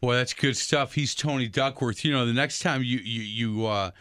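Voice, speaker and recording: A man hosts a sports radio talk show in an upbeat, joking way. His voice sits in an ordinary middle pitch. The recording is dry and close. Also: moderate pace, bright, forceful tone, clean tone, dry recording. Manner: jokey, upbeat. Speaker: radio host.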